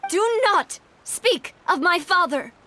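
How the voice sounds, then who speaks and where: A woman's voice: very high-pitched, animated, slow, pushed and bright, heavily acted. A woman playing a proud, determined young noblewoman in a video game, with pointed, polished, theatrical phrasing. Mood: indignant.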